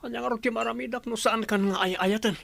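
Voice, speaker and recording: An actor playing a young womanizer in a radio drama, cocky but protective, with a creaky voice. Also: very high voice, fairly even intonation, fast, a bit bright, no room sound, heavily acted. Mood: callous, unrepentant. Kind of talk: radio drama acting in Ilocano.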